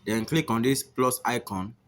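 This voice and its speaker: A man's voice: medium pitch, normal speed. A man narrating a step-by-step app tutorial, often repeating his phrases and instructions. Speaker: tutorial host.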